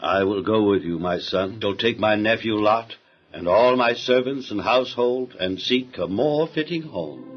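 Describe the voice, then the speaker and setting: A man's voice: on the low side, unhurried, close to an everyday voice. An actor playing a weary, devout aged father in a biblical audio drama, speaking in a kindly, hesitant way. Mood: devout, willing. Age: older. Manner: hesitant, rambling, expressive. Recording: muffled radio drama, music underneath.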